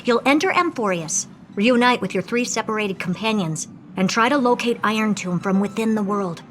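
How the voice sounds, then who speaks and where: A self-assured woman voiced by an actress going over plans, mid-pitched and businesslike. She sounds certain of success. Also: lively intonation, normal speed, slight background noise, natural voice. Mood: brisk. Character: brisk, confident woman planning a mission. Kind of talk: game voice acting.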